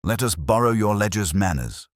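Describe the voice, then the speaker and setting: A man voicing a courteous, unhurried police inspector in a storybook mystery, questioning politely and with measure. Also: fairly low pitch, animated, dry recording, clean studio sound, pitched above the performer's own voice, lightly acted. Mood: calm, inquiring.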